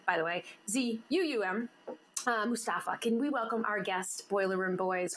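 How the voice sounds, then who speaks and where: Bubbly lady host teasing her guest, mid pitch, bit of hiss in the background. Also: a bit bright, rough recording. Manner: bubbly, teasing, quick to laugh. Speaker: podcast host. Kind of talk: casual interview chat.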